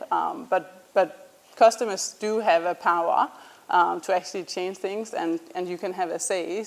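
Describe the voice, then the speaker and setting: Danish woman pitching, hesitant with ums. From Denmark. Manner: casual, hesitant, many ums and restarts. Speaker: startup founder presenting. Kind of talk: student pitch presentation.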